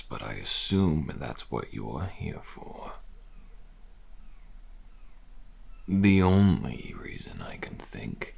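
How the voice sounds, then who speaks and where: A man voicing a world-weary, bitter deserter soldier on the run in an audio roleplay, speaking in a gravelly, breathy murmur with halting, musing phrases. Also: a bass voice, pitched below the performer's own voice, exaggerated voice. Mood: dry, bitter. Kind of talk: audio roleplay, slow addressed monologue. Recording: faint outdoor night insect ambience.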